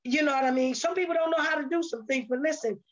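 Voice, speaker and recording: A woman's voice: sits high, quick pace. A woman talking in an earnest, motivational way, emphatic and repetitive as she exhorts the listener. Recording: dull, lo-fi audio.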